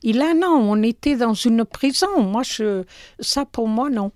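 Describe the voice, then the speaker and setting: An older woman from Portugal with a Portuguese accent, interviewed in her small shop, speaking slowly and hesitantly with many fillers. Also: on the high side, expressive, darkish tone, no room sound, studio quality. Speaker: shopkeeper.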